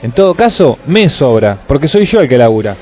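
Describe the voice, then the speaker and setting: A man's voice: medium pitch, sing-song, a little fast, warm tone, a faint crowd, natural voice. A man playing a stingy, self-righteous Buenos Aires businessman, indignant and blustering, in a comic radio play. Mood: indignant, griping. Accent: Buenos Aires Argentine. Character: grumpy stingy scheming middle-class businessman, self-righteous.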